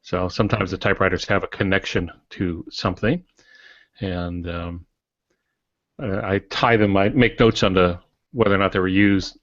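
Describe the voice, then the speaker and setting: A man in his sixties co-hosting a casual podcast over an online call, explaining things in a wry, measured way. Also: low-pitched, a bit bright, no room sound. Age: 60s. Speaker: podcast co-host and writer. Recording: dull, dry, lo-fi online call audio.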